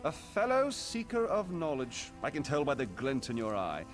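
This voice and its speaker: Animated, eager scholarly mage. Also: male voice, mid-range voice, moderate pace, soft background music. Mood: excited. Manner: theatrical, wordy, formal phrasing. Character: excitable male mage scholar, eager and chatty. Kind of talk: video game character acting.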